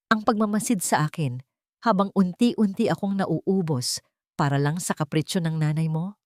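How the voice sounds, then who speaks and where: A woman voicing a weary, wounded wife in a story, flat and drained. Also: on the low side, normal speed, dry recording, clean studio sound, close to an everyday voice. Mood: weary, hurt. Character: weary, wounded young wife, quietly bitter.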